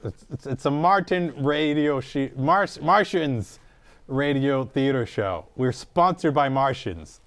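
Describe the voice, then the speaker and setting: A man's voice: mid-range voice, animated, slow pace. A man hosting a comedy radio show with stumbling, repetitive, improvised banter.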